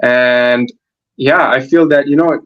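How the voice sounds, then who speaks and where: A man's voice: mid-range voice, steady tone, takes it slowly, highs cut off. A man in his twenties from Germany, a footballer answering interview questions, hesitant, with many fillers and restarts. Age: 20s. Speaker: guest footballer.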